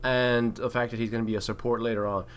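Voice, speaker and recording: A man's voice: mid-range voice, flat delivery, fast. A man giving casual, opinionated gaming commentary in a slangy, profane way. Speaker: gameplay commentator and player.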